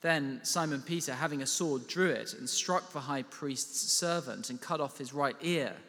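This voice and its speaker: A man reading a scripture passage aloud in a slow, measured way. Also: mid-range voice, a bit bright, in a large hall. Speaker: scripture reader.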